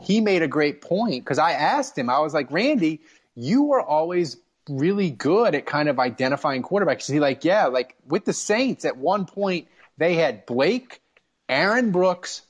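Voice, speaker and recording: Male sports podcast host, animated swings in pitch, slightly high voice, excitable and salty fan chat. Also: measured pace. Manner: profane, excitable storytelling.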